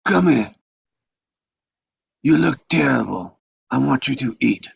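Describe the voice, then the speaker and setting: An actor playing a stern, commanding old mafia patriarch with a gravelly, husky voice in a script table read. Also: male voice, mid-range voice, animated, slow, no room sound, exaggerated voice. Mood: calm, commanding.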